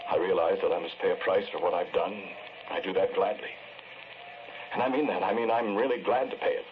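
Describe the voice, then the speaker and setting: An actor playing a sombre, earnest confessed killer in a 1940s radio drama, speaking in a measured, hesitant, brooding way. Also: male voice, sits low, steady tone, natural voice. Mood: remorseful, resolute. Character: sombre, earnest man confessing to killing the woman he loved. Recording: noisy, muffled 1940s radio recording.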